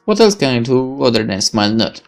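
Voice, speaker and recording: A man hosting a gaming video, reading the story narration aloud theatrically, with expressive asides and swearing. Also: mid-range voice, fairly quick, vocal fry, music in the background.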